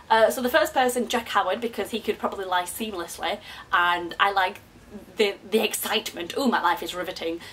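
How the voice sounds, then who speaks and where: Lively, chatty young woman vlogger. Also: a little hiss or hum. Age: 20s. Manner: chatty, self-mocking, frequent swearing and big pitch jumps. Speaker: YouTuber. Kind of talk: casual confessional vlog.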